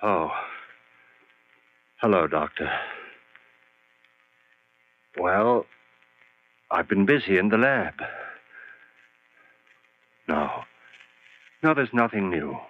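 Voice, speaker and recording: An actor playing a desperate doctor in 1940s radio horror, speaking in a dramatic, halting way on a muffled old recording with hum. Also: male voice, sits low, animated, exaggerated voice. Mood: weary, subdued. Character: desperate doctor experimenting on a revived woman.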